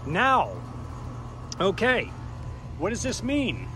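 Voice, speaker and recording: A man in his thirties with a fairly high voice talks an audience through a repair on an outdoor unit, one step at a time. He keeps checking in with a quick "okay?". The camera mic picks him up outdoors, with faint traffic and street sound behind him. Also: sing-song, bright, forceful tone. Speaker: HVAC technician. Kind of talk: hands-on how-to explanation. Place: outdoors by an air conditioning unit in a yard. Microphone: camera mic, handheld or on a tripod.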